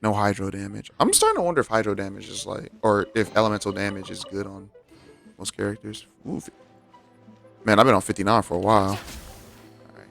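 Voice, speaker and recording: A man's voice: lowish voice, lively intonation, fairly quick, vocal fry, fairly bright tone, faint music behind. A man giving casual gameplay commentary as a streamer, thinking aloud as he goes. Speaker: game streamer.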